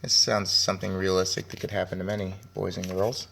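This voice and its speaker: A man reading a children's story aloud as a teacher, speaking deliberately and addressing young listeners directly. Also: low voice, completely flat delivery, creaky, a bit bright, slight background noise. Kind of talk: children's read-aloud with commentary.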